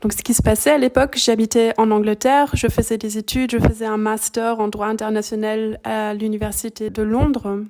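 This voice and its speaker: A middle-aged woman from Belgium, a native German speaker, telling her story calmly and thoughtfully in a podcast interview. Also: fairly high pitch, a little fast, few pauses. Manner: calm, thoughtful, searching for words. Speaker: guest, shiatsu practitioner.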